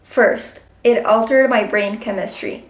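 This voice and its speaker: A woman's voice: fairly high pitch, fairly even intonation, a little slow. Chatty woman, roomy echo. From Colombia. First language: Spanish. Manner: chatty, frequent "like" fillers, upbeat. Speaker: book vlogger. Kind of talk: casual chat to camera.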